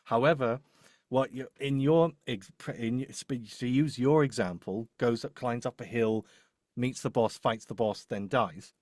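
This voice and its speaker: A man's voice: medium pitch, measured pace, darkish tone, no room sound, clean studio sound. A man giving casual professional advice as a demo producer in a live discussion, often correcting himself and repeating phrases. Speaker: voice-over demo producer and host.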